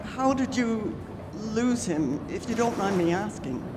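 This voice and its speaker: A woman's voice: mid-range voice, animated, measured pace, natural voice. A woman playing a genteel, sympathetic lady in a radio drama, speaking politely and articulately. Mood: concerned, sympathetic. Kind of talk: stage radio drama acting. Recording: reverberant hall with clear background noise.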